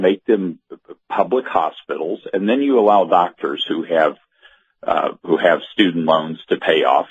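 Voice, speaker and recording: A man giving halting political commentary as a guest on talk radio, often repeating words. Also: sits low, husky. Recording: muffled, narrow phone-like radio audio.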